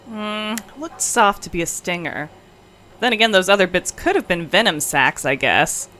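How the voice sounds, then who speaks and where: A woman's voice: on the low side, expressive, normal speed, sharp tone, slight background noise. A woman playing a blunt, sardonic, impatient alien crew member in an audio comedy, with dry, cutting delivery. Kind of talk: comedic audio drama acting.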